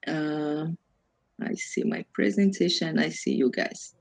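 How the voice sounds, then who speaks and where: A woman's voice: low-pitched, slow pace, gravelly. Brazilian woman presenting an informal workshop. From Brazil, Amazon region. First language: Portuguese. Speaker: workshop presenter, self-development coach.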